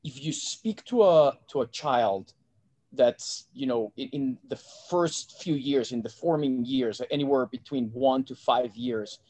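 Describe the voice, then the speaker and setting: Man from Mexico, middle-aged, relaxed guest chatter, video call, dry sound. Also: medium pitch, unhurried, no room sound. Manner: relaxed, chatty, frequent restarts. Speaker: guest, investment bank founder. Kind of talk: casual interview over video call. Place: home office.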